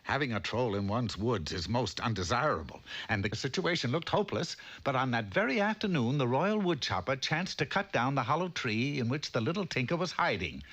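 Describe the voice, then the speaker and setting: A man's voice: medium pitch, animated, a little fast, hardly any pauses, a bit bright. A man narrating a comic fairy tale in a theatrical storybook style.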